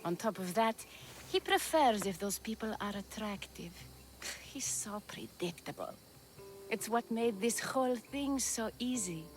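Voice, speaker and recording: A woman's voice: mid-range voice, animated, unhurried, over music, lightly acted. An actress playing a vain, ambitious young bride-to-be in a video game, smug and dismissive as she talks. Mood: knowing, bored. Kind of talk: video game cutscene acting.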